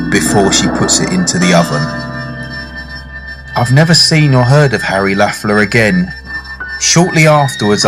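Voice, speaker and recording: A man playing a polite, reflective diner in a radio drama, measured and understated, well-spoken. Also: on the low side. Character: polite, reflective male diner narrating a macabre memory. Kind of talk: radio drama narration and dialogue. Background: music under the voice.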